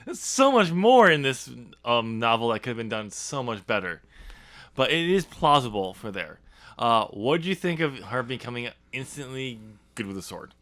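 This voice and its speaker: A man's voice: medium pitch, very animated, normal speed, fairly bright tone. A man hosting a podcast, casually talking through his own writing in a hesitant, explanatory way. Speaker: podcast host and author. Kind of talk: casual discussion of his novel draft.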